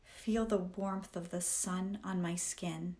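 Lady doing guided meditation affirmations, lowish voice, really slow n measured, lots of pauses. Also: fairly even intonation, darkish tone. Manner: deliberate, measured delivery in short phrases with pauses.

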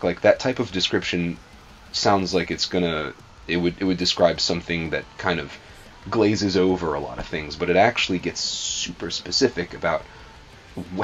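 A man's voice: low voice, dull audio, a little hiss or hum. A man from Atlanta, a drummer, chatting casually with lots of "like" and "um" in a music studio. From Atlanta, USA. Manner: talkative, filler-heavy, rambling. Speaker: band drummer. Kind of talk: casual interview talk.

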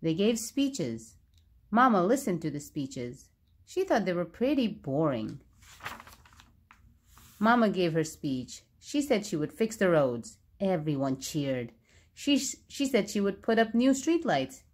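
A woman narrating a children's storybook aloud, expressively and with clear phrasing for young listeners. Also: on the low side, sing-song, takes it slowly.

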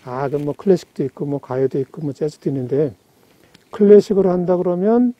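Quick, bright-pitched man chatting eagerly. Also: lo-fi sound. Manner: eager, explains at length with many fillers. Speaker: music enthusiast guest. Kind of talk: casual chat. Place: outdoors in a rural mountain yard. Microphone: far camera.

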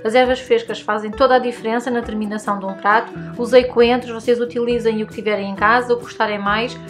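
A woman's voice: a little high, fairly even intonation, fast talker, over music. A woman in her thirties with a European Portuguese accent, hosting a cooking tutorial and talking directly to her viewers in a friendly, instructive way. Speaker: cooking channel host. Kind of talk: recipe tutorial voice-over. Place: home room with bookshelves.

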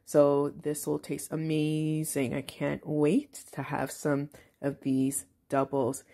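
A woman's voice: deep voice, level tone, slow, creaky, dark tone. A woman in her forties, an author, chatting casually through a home cooking video with frequent ums. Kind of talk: casual cooking vlog narration. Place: home kitchen.

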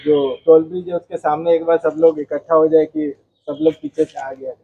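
A man's voice: on the high side, flat delivery, brisk, phone-quality sound. A man explaining the ride route and proposing regroup stops in a practical way.

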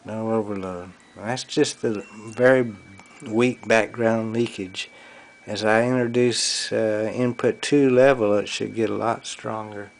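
Slow-talking man over noisy hum. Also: fairly low pitch, steady tone, gravelly. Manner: terse, fragmentary step-by-step phrasing. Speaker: electronics hobbyist demonstrator. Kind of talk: hands-on technical demonstration, off the cuff. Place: small cluttered indoor electronics workshop. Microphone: handheld camera microphone. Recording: noisy handheld camera audio with hum. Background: hum and test tone from audio gear.

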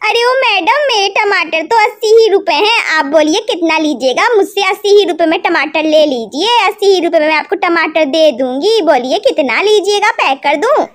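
A woman's voice: very high-pitched, lively intonation, moderate pace, hardly any pauses. A woman voicing a combative rival village vegetable seller in a doll story, pushy and squabbling, repeating herself emphatically. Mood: coaxing. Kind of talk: doll storytelling drama, dramatic role-play.

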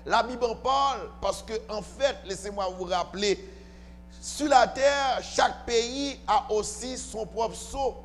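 A man preaching to a congregation as pastor, emphatic and often asking questions, on a handheld mic in a church. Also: high-pitched. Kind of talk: sermon. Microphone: handheld microphone, filmed from far camera. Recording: church hall reverb with faint music.

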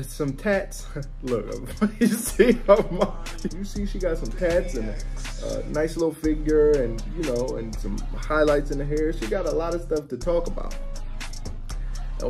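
Lively mocking man reacting to clips. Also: a little high, normal speed, creaky, over music. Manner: mocking, coaching tone. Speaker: reaction video host. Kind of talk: blunt critiquing commentary. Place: indoors on a leather couch.

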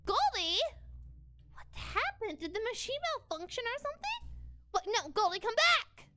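A young woman playing an excitable, scheming tiger girl in an animated, theatrical Minecraft roleplay for children, speaking into a close mic. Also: extremely high pitch, moderate pace. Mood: dismayed. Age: young adult. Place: indoor home recording setup. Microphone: close studio mic on a boom arm.

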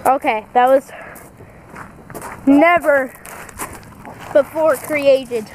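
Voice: sits high, fairly even intonation, very slow, background noise. A child or young teen chatting casually as a vlogger outdoors, recorded on a handheld device. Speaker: vlogger trying out a voice changer app. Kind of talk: casual chat. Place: outdoors in a yard.

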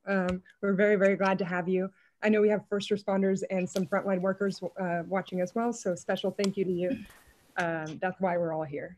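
A woman's voice: medium pitch, warm tone. A woman co-hosting an online event, giving an informal welcome to viewers over a video call. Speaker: writer and co-host of the event. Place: indoor room at home, on a group video call. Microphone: video-call microphone.

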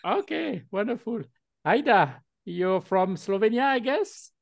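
A man's voice: high voice, very animated, a little slow, creaky, no echo, studio quality. A man co-hosting an online meeting, talking with many fillers and restarts over a video call. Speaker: meeting co-host.

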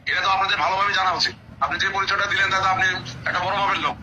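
A man's voice: sits high, steady tone, rapid-fire. A Bangladeshi police officer-in-charge, a man explaining himself defensively and insistently on a recorded phone call, often repeating his points. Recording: noisy recorded phone line.

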